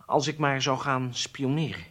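An actor playing a weak-willed, cornered spy who confesses, hesitant and submissive, in a radio drama. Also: male voice, medium pitch, fairly even intonation, moderate pace, a bit bright, close to an everyday voice. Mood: confessing.

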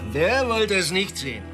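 Sleazy, giggly, high-strung party guy. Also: exaggerated voice. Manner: leering, giggly, showing off. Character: sleazy, boastful, giggling male party host with morbid streak. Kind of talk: German radio crime drama acting.

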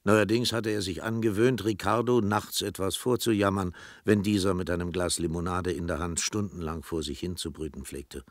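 A man's voice: sits low, steady tone, normal speed, hardly any pauses, no echo, studio quality. A man giving scripted literary narration as the narrator of a German radio play. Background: music.

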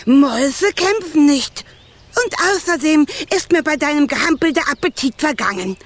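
An actress in a children's radio play playing a cold, haughty snake that threatens with disdain. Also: female voice, high-pitched, animated, moderate pace. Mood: haughty, dismissive.

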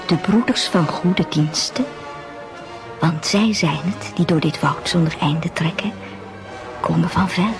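A woman playing a solemn folktale narrator in a radio drama, measured and dramatic, sometimes hushed. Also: fairly low pitch, music in the background.

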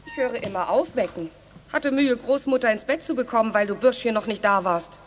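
An actress playing a wry, caring aunt in her sixties in a children's radio play, brisk and mock-scolding. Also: a little high, natural voice. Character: wry, caring 62-year-old aunt, bossy but jolly. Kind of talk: 1980s German children's radio play acting.

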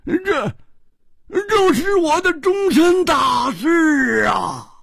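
A man's voice: wildly swooping pitch, slow pace, husky, no room sound, clean studio sound, full character voice. A man voicing a flustered, panicky young swordsman who stammers in comic distress. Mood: frantic. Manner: stammering, squealing high.

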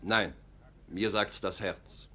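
Low-voiced, coolly ruthless officer. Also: male voice, steady tone, bright, forceful tone, full character voice. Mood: grave. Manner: deliberate, formal stage diction. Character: loyal, coolly ruthless male officer serving a duke. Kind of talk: classical German radio play acting.

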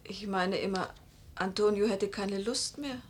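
A woman's voice: medium pitch, unhurried, husky. An actress playing a middle-aged mother who tells her life story in a restrained, wistful, intimate way in a radio drama. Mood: probing, hopeful. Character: weary, hopeful Italian mother narrating her life's disappointments. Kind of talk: radio drama first-person narration and dialogue.

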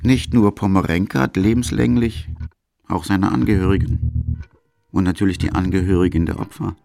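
A male narrator reads a scripted radio documentary. His voice is fairly low with a lot of creak, and faint music plays behind him. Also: steady tone, moderate pace, mellow, no echo.

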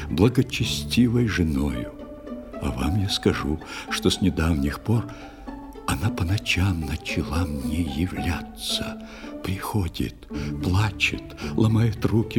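A man's voice: lowish voice, pitched above the performer's own voice. A man voicing a grave, insistent old priest who gives solemn, pleading warnings in a verse audio play. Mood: anguished, pleading.

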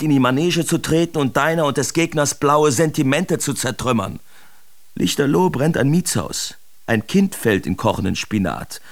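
A man's voice: mid-range voice, natural voice. An actor playing a brash, cynical big-city painter declaiming his feverish letters in a dramatic radio reading. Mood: fervent, goading.

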